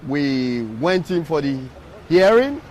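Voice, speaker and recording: A man with a slightly high voice answers a street interviewer, pausing often and filling gaps with "um" as he explains. He speaks into a handheld mic outdoors. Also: very animated, a little mellow, slight background noise. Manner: hesitant, many pauses and fillers. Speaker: interview guest. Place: outdoors on a city street outside a court. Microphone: handheld mic from the streamer.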